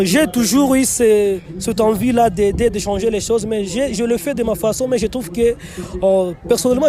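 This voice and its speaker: A young man from Goma with a Congolese French accent, an NGO director answering interview questions earnestly and repeating phrases for emphasis, outdoors on location. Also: high-pitched. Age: young adult. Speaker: NGO director and youth political official. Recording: loud crowd noise behind.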